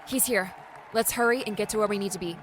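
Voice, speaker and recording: A man's voice: high-pitched, fast talker, crowd noise, noisy background, pitched above the performer's own voice, natural voice. A man voicing a determined young woman who leads a rescue, with firm, urging commands. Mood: urgent. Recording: background music. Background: music.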